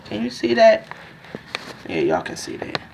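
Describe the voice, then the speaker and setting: A woman's voice: fairly high pitch, huge pitch swings, unhurried, vocal fry, background noise. Rambling woman in her home kitchen. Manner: rambling, many ums and restarts. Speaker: vlogger. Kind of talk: casual kitchen demonstration.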